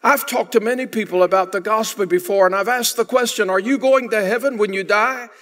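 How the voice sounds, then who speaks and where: A man's voice: high-pitched, fairly quick. An older man preaching a sermon in a church sanctuary, speaking from the pulpit and pressing hard on key phrases. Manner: emphatic preaching, swelling louder on key phrases. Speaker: pastor.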